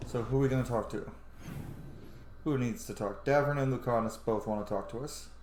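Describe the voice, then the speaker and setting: A man's voice: medium pitch, brisk, a little mellow, slight background noise. A man hosting a gaming channel, giving informal, sweary let's play commentary. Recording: roomy echo, game music behind. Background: video game music.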